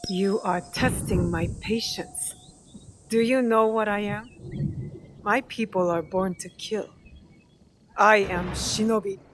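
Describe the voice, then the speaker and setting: A woman's voice: medium pitch, steady tone, slow, long pauses, noisy background, natural voice. An actress playing a cold, controlled female ninja in a video game, speaking with firm menace. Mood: cold threat. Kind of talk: video game cutscene acting.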